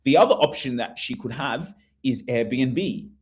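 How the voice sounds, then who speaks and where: A man's voice: medium pitch, animated, unhurried. A man presenting an informal live webinar, conversational and repetitive, often putting rhetorical questions to listeners. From Australia. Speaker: webinar host, property investment advisor.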